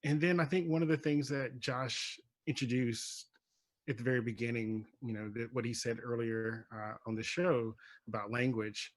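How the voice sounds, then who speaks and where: A man who writes plays, speaking unscripted as a panel guest, reflective and searching for his words in halting phrases. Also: medium pitch, fairly even intonation, no room sound.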